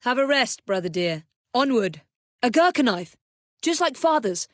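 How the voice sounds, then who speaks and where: A woman's voice: a little high, very animated, moderate pace, no room sound, clean studio sound. An actress playing a composed young Victorian woman assassin with an English accent in a video game, wry, proper and determined. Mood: brisk, then wry, teasing. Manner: crisp, proper, understated.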